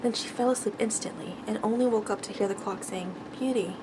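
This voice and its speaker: A soft-spoken woman reading a bedtime story in ASMR style, stumbling now and then over the words. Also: medium pitch, fairly even intonation, quick pace, noisy background.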